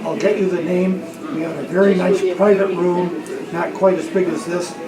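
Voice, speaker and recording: A man's voice: high-pitched, fairly even intonation, normal speed. A man speaking informally from the floor as a member at a club meeting. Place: indoor luncheon room. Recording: distant mic, echoey, loud crowd.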